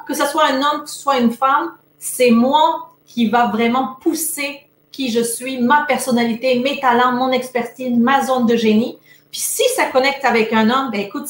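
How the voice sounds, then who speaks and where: A woman's voice: a little high, reverberant, slight background noise. A woman from France with a Montpellier accent blended with Québécois expressions, chatting upbeat as a coach being interviewed. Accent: Montpellier French mixed with Québécois. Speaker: productivity coach. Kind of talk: casual interview. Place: Québec.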